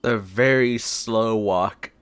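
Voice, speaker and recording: A man's voice: lowish voice, slow pace, a bit bright, clean tone. A man giving casual let's play commentary as a streamer. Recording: faint game audio behind the voice. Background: video game sounds.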